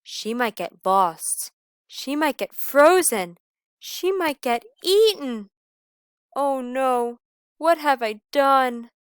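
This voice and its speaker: A woman plays an old storybook wolf, a grumbly fellow with a soft heart. He is exasperated and theatrical, drawing words out slowly into groans and howls. The sound is smooth and very dry. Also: high voice, expressive, dry recording, pitched above the performer's own voice. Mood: anguished, pleading. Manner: dramatic, groaning and howling exclamations.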